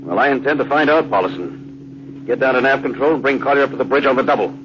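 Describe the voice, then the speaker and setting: A man's voice: mid-range voice, quick pace, dull audio, natural voice. A noisy, dulled vintage radio recording carries an actor as a stern male space captain. His voice sits in a middle register. He questions in clipped, authoritative 1950s drama style, full of suspicion. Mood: cold, probing.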